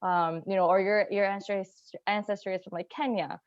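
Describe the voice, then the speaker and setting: A woman from Iran joins a journal club discussion over a video call. She thinks out loud and circles her point with lots of fillers. The audio is dry and a little dull. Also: mid-range voice, fairly even intonation, brisk, no room sound. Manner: rambling, filler-heavy, thinking aloud.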